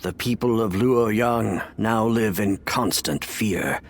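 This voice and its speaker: An actor playing a grave, remorseful imperial official and adoptive father in a video game, with measured, formal delivery. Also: fairly low pitch. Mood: grave, troubled. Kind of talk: video game cutscene acting.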